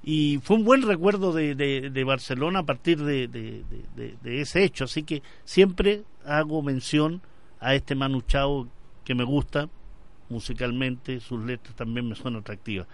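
A man's voice: medium pitch, unhurried, creaky. A Chilean man hosting a conversational radio interview, often repeating words as he searches for a phrase. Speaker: radio show host.

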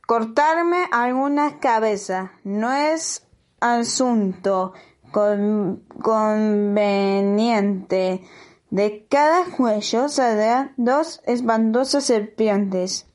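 A high-voiced reader with an Argentine accent, a woman by sound, voicing a boastful, hideous many-headed lake monster in a slow storybook reading. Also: a little mellow.

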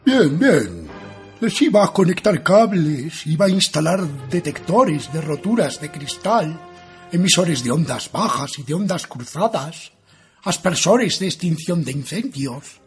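A man puts on a matter-of-fact security technician for radio theatre. The voice sits somewhat high and moves animatedly, with faint music underneath. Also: very animated, measured pace. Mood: brisk, matter-of-fact. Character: matter-of-fact male alarm installation technician.

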